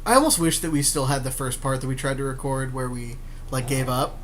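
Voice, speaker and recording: A man's voice: medium pitch, fairly even intonation, fairly quick, a bit bright, a little hiss or hum. A man talking casually as a gaming podcast co-host, slangy and informal, with offhand swearing. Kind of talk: casual gaming commentary, chatting while drafting cards.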